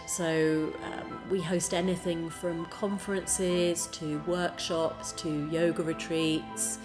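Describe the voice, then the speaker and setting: A woman with a slightly low voice presents as a staff member in a promotional talk. Music plays clearly underneath her. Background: music bed.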